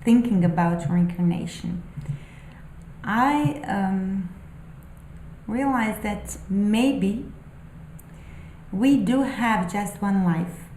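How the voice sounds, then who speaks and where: A woman speaking English as a second language muses about spiritual questions, halting and thoughtful with frequent fillers. Her voice is mellow and unhurried. The room sounds echoey, with a faint hum of ambience behind her. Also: mid-range voice. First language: not English. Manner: thoughtful, halting, many ums and restarts. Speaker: spiritual vlogger. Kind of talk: unscripted musing monologue.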